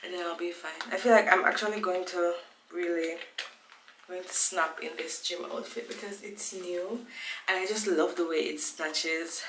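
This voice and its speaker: Woman, 20s, from Jamaica, casual vlog chat, slightly low voice, rambling, echoey small room. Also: fairly even intonation, normal speed, a bit bright, slight background noise. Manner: chatty, rambling, loses her train of thought. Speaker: vlogger. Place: small room at home, indoors.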